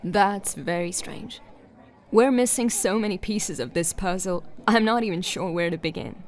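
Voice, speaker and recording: An actress playing an earnest, inquisitive detective who is puzzling over a case in a sci-fi audio drama. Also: female voice, medium pitch, moderate pace, natural voice. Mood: perplexed. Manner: earnest, questioning.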